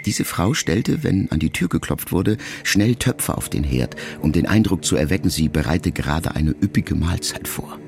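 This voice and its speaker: A man reading a letter aloud as an audiobook, in a measured, reflective way. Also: low-pitched, animated, vocal fry. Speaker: narrator. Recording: loud music underneath.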